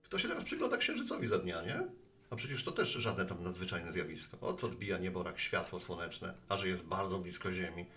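A man's voice: deep voice, big pitch swings, a little fast, bright, forceful tone, exaggerated voice. An actor playing a talkative, friendly postman with earnest opinions, chatting at a bar in an audio drama. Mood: earnest explaining. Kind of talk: Polish audio drama acting.

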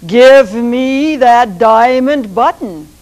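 A woman voicing a fat, greedy male sultan who gives slow, loud commands in a storybook tale. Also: a little high, lively intonation. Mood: commanding.

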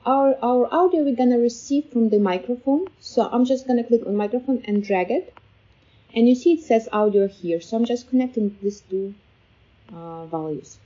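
A woman with a soft-edged, mellow voice walks through software steps as a tutorial host. Her delivery is informal and a bit hesitant, in a casual step-by-step style. The voice-over sounds somewhat muffled and lo-fi. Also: a little high, moderate pace. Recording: slightly dull, lo-fi voice-over.

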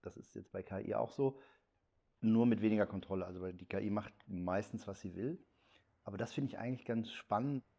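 Blunt low-voiced man in his fifties. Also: fairly quick, vocal fry, dark tone. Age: 50s. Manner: blunt, opinionated. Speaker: interviewee photographer and director. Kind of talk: casual interview talk.

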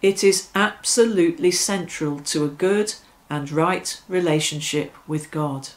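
A middle-aged woman leading devotional prayers and reflection in a deliberate, phrase-by-phrase way. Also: lowish voice. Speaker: prayer leader. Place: small domestic room, seated on a sofa.

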